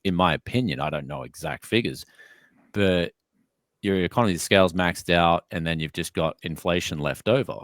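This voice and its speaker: A man's voice: low voice, no room sound. A man in his thirties from Australia, a tech YouTuber guest chatting casually on a video call from his home studio, loose and rambling, calling people "man" and "dude".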